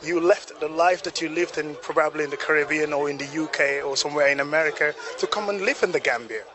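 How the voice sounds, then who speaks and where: Pointed male interviewer, slightly high voice, loud outdoor crowd. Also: quick pace. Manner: challenging, listing points. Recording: outdoor recording with crowd noise.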